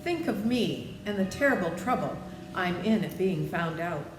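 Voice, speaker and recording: A woman's voice: lowish voice, lively intonation, normal speed, roomy sound, big-room sound, over music, pitched above the performer's own voice, lightly acted, low-quality recording. A woman voicing a meek little humbug wizard, a trembling, apologetic man in a storybook reading. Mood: anxious. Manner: meek, pleading.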